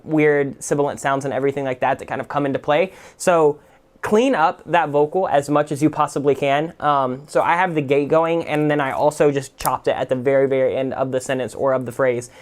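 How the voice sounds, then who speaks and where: Guy doing a casual music production tutorial, voice a bit on the high side, explainy and informal. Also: clean tone. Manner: informal, explanatory, full of "like" and "kind of". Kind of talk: casual, unscripted software tutorial.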